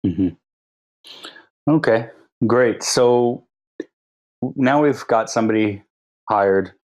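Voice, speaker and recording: A man's voice: mid-range voice, slow, darkish tone, low-quality recording. A man hosting a podcast, asking an interviewee short, plain questions about his sales work. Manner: brief prompts and short acknowledgements. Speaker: podcast host.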